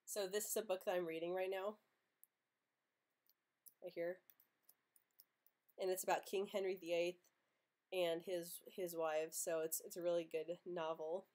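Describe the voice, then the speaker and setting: Young woman host who stutters, open and earnest, slightly low voice, casual live Q&A. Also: flat delivery, unhurried, pauses often, darkish tone. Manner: stutters often, with repeated sounds and blocks; earnest, open, laughs easily. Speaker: livestream host answering viewer questions.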